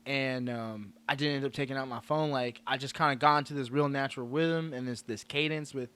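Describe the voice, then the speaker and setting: A man's voice: mid-range voice, steady tone, fairly quick, fairly bright tone, clear, resonant tone. A young man from Hawaii, a comedian telling a laid-back, laughing story in a casual interview after his set. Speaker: comedian guest. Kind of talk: casual post-show interview.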